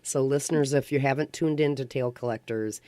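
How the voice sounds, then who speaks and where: A woman's voice: very deep voice, fairly quick, warm tone, dry recording. A woman hosting a casual radio interview, talking in an enthusiastic, effusive way. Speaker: radio talk show host. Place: radio station studio.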